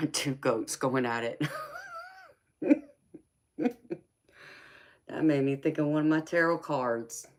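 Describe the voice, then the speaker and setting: Woman in her forties, tarot reader, relaxed and slow-spoken, chatting back to viewer comments. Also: low voice. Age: 40s. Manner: easygoing, answers viewer comments by name. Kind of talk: casual live-stream chat with viewers.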